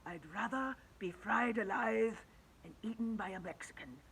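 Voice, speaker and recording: An old grasshopper from a storybook wails slowly in dismay, as a woman reads it aloud. The voice stays bright and lively. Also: medium pitch, creaky, a little hiss or hum. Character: old green grasshopper, fretful and wailing.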